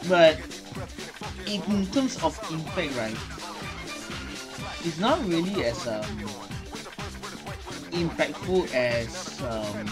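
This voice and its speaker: Slow, halting young man with lively pitch. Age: young adult. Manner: halting, thinking aloud. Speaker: gaming reaction video host. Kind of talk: casual reaction commentary. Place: small bedroom.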